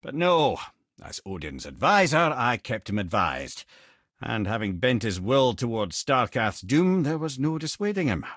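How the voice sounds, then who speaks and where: A man's voice: medium pitch, big pitch swings, a little slow, fairly bright tone, no echo, clean studio sound. An actor playing a witty, learned old male talking head in a video game, with a Scottish accent and a wry, wordy, old-fashioned storytelling manner. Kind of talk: video game character dialogue, storytelling and battle callouts.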